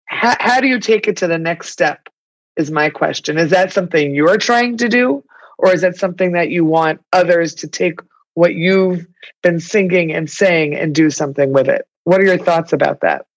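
A woman's voice: on the low side, moderate pace, poor audio. New York woman hosting an interview. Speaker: podcast host, interviewer. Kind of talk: conversational interview.

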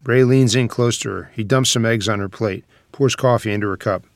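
A man's voice: lowish voice, a little fast, no room sound. A man reading stage directions as scripted screenplay narration.

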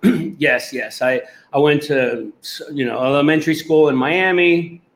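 A man's voice: on the high side, measured pace. A man from Puerto Rico, a podcast guest telling his life story in an earnest, rambling way. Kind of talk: casual interview.

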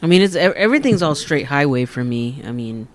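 An easygoing man from Amarillo, Texas, chats on a podcast. His voice sits a little high, and his replies are short and unhurried. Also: big pitch swings. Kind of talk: casual chat.

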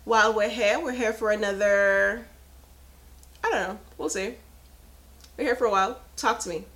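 A woman's voice: medium pitch, normal speed, fairly bright tone. A woman in her thirties hosting a casual live podcast, chatting frankly and laughing often. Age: 30s. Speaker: podcast host. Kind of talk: casual live-stream chat.

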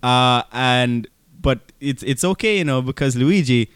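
A man co-hosting a podcast, commenting casually on a film with halting repeats and incredulous rhetorical questions. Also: medium pitch, fairly bright tone, smooth, dry recording, studio quality. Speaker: podcast co-host. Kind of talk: casual movie commentary chat.

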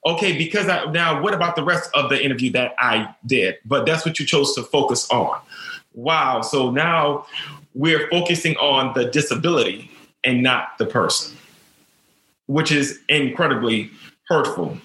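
Earnest stuttering man, bright voice. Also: medium pitch, moderate pace, reverberant, rough recording. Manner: stutters, repeats words, earnest. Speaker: teacher. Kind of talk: personal storytelling interview.

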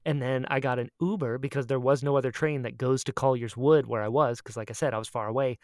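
Expressive woman telling stories, close dry sound. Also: low-pitched, dry recording. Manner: animated, expressive, quotes herself dramatically. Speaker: podcast co-host. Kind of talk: casual chatty storytelling.

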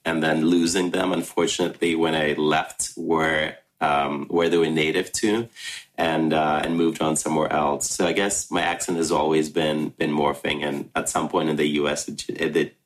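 A man in his thirties from Ghana, first language Russian, answering interview questions reflectively with many pauses and restarts. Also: extremely low pitch, little pitch movement. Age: 30s. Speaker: filmmaker.

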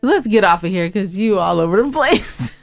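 A woman's voice: mid-range voice, animated, normal speed, creaky, no echo. An American woman co-hosting a podcast, bantering casually in a blunt, teasing, opinionated way, close on the microphone. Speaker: podcast co-host.

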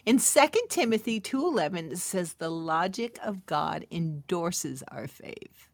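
Slow-paced woman giving a devotional talk. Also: lowish voice, expressive, vocal fry, dry recording. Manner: emphatic and testimonial, repeating phrases for weight; intimate, chuckling asides to the listener. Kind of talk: devotional talk addressed to listeners.

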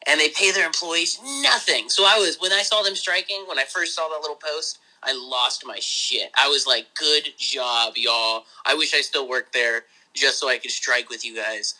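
A man, hosting a podcast, talking casually over a phone call in a rambling, excited way with some swearing. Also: normal speed, hardly any pauses. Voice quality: high voice. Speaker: podcast host.

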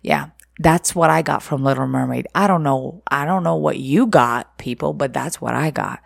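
A woman's voice: low-pitched, moderate pace, creaky, no echo, studio quality. A woman from Egypt talking casually to her viewers, candid and opinionated, rambling with restarts, rhetorical questions and laughing asides. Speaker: vlogger.

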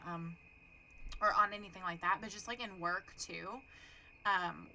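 A young woman with a Texan accent, talking in a casual, frank way and dropping the odd swear word. Her voice is bright and forceful, sitting a little low in pitch. Faint noise hums behind her. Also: rough recording. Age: young adult. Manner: chatty, frank, swears casually, laughs often. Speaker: podcast co-host. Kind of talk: casual chat.

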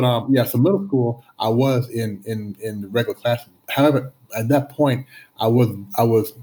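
A man's voice: medium pitch, a little mellow, low-quality recording. A middle-aged man from Canada with a slight lisp, telling his life story as an interview guest, reflective and often restarting his words. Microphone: video call.

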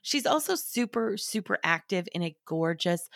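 A woman's voice: on the low side, lively intonation, moderate pace, creaky, a bit bright, dry recording, studio quality. A middle-aged woman hosting a style podcast in a friendly, chatty way, her voice a little scratchy from a recent cold. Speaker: podcast host, stylist. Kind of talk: scripted podcast talk.

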